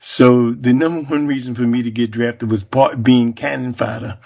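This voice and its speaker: An American man in his seventies from New Jersey, recounting his life in an interview with a reflective, halting delivery and frequent pauses. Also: mid-range voice, fairly even intonation, gravelly, a little mellow, lo-fi sound. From Jersey City, New Jersey, United States. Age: 70s. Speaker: interviewee, Vietnam War veteran. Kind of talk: personal oral history interview.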